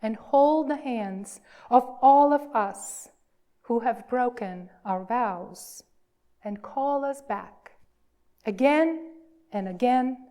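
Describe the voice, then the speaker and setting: A woman minister leading worship, reading reverently in a measured, emphatic way with deliberate pauses. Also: high-pitched, warm tone. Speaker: minister leading a church service.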